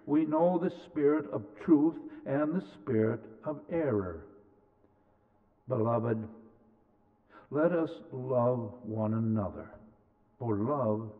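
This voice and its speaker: A middle-aged man reading scripture aloud in a large church sanctuary, with deliberate, emphatic phrasing from the pulpit. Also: medium pitch. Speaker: scripture reader. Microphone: pulpit mic, far camera. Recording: tinny, reverberant church sound.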